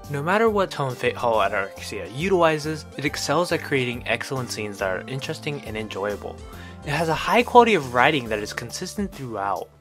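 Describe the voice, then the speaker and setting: A man delivers a scripted video review in a medium-pitched voice. He narrates as a reviewer presenting his written piece. Faint music plays behind him. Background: music under the voice.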